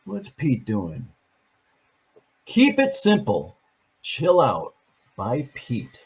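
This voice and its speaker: Playful husky grandpa reading aloud. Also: male voice, mid-range voice, wildly swooping pitch, very slow. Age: older. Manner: playful, chatty, asks the listeners questions. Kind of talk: reading a picture book aloud on video.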